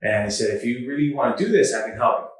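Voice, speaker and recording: A man who grew up in the Dominican Republic, hosting a podcast and telling stories about his past in an earnest, animated way. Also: medium pitch, fast, distant mic. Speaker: podcast host. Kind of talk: casual chat, storytelling. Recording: echoey room, slightly off mic.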